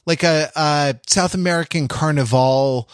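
A man hosting a podcast, talking casually about pop culture in a halting, wordy, explanatory way. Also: a little high, clear, resonant tone, no room sound, clean studio sound. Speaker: podcast host.

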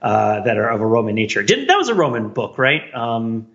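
A man's voice: mid-range voice, wildly swooping pitch, fairly quick, a bit bright, dull audio. A man co-hosting a podcast, chatting casually in a wry, rambling way. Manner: wry, rambling, prone to chuckling. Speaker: podcast co-host. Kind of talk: casual bantering podcast chat.

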